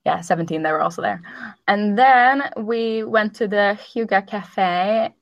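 A woman in her thirties co-hosting a casual podcast, chatty and quick to laugh as she tells stories. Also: mid-range voice, vocal fry, no room sound, highs cut off. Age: 30s. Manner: chatty, laughs often, storytelling. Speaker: podcast co-host.

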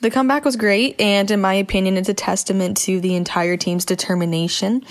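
A woman's voice: medium pitch, fairly even intonation, fairly quick, soft background music. A woman football journalist answering interview questions with articulate, prepared analysis.

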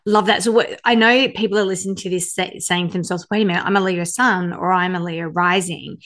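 A woman hosting a podcast in casual chat, with an animated, self-deprecating manner. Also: lowish voice, a little fast. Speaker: podcast host.

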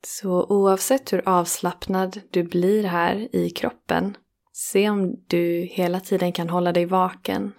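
Woman, meditation guide. Creaky, very even delivery, soothing and unhurried, with generous pauses. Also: on the low side. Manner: slow, soothing, with long pauses between phrases. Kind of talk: guided relaxation meditation, yoga nidra.

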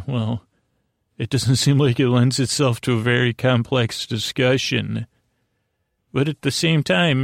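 Rambling male podcast host with gravelly creak. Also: mid-range voice, no echo, studio quality. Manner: halting, digressive, trailing off and circling back; whimsical, musing to himself. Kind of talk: rambling, meandering bedtime monologue for a sleep podcast.

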